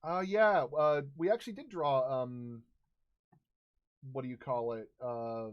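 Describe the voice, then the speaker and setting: A man in his thirties casually recounting tabletop game stories as a host, halting and searching for words. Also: mid-range voice, smooth, muffled recording. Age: 30s.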